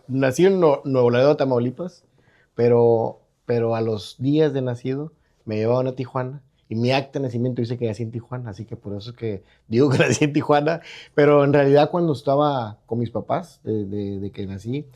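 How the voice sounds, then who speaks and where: A middle-aged Mexican man with a Mexican Spanish accent speaks as a podcast guest in his own studio. His voice is mellow and dark, and he rambles casually, restarting sentences and tossing in tag questions. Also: medium pitch, normal speed, lo-fi sound. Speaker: guest entrepreneur. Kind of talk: casual interview talk.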